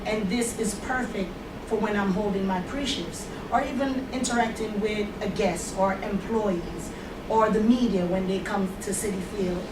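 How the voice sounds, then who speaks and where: A woman's voice: mid-range voice, normal speed. Enthusiastic woman with a Jamaican accent. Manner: animated, enthusiastic, fillers like "like". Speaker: workshop participant. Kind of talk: reflective classroom sharing. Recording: roomy, from far off, noisy.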